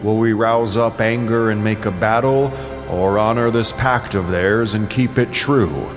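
A man's voice: lowish voice, fairly even intonation, measured pace, lightly acted. A man playing a mighty, imperious king of gods and exasperated husband, speaking in a grand declamatory way in an epic audio drama. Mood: scheming, displeased. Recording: long cavernous reverb, loud music behind.

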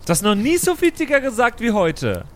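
Guy doing an annoyed stressed girl, high voice, swings up and down a lot, whiny, bit of background noise. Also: huge pitch swings, brisk, heavily acted. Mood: exasperated. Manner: whiny, exasperated, scolding. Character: irritated, stressed-out young woman named Laura at a festival. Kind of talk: improvised audio roleplay.

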